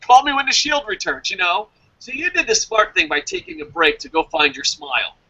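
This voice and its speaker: A man's voice: high voice, wildly swooping pitch, brisk, creaky, sharp tone, husky, dull audio. A man chatting casually as a review show host, opinionated and emphatic, swearing freely and rambling as he goes.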